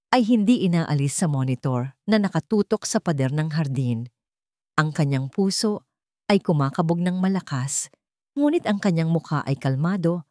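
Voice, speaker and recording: Filipino lady doing dramatic story narration, lowish voice, pretty dry recording. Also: dark tone, no room sound.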